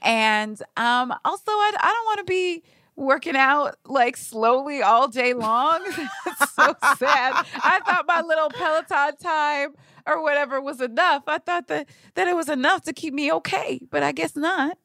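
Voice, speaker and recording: A woman's voice: high voice, unhurried, sharp tone, dry recording. Candid woman co-host, close dry sound. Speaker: podcast co-host. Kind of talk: casual conversational chat.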